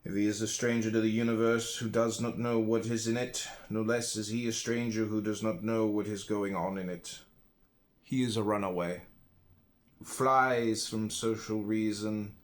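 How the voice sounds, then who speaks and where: A man's voice: on the low side, a little hiss or hum. A man reading a philosophy audiobook, stressing key words and phrases with dramatic emphasis. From United States. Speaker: audiobook reader.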